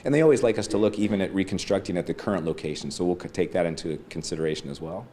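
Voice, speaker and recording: A man with a low voice explains things as an official in an interview. He talks at a brisk, fast pace, with faint noise in the background. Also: mellow. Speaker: airport official being interviewed.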